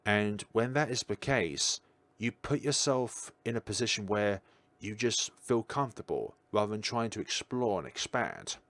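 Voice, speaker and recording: A man's voice: lowish voice, expressive, moderate pace, fairly bright tone, no room sound. A tired man musing aloud in a casual livestream chat, answering his viewers' questions as he goes. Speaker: streamer.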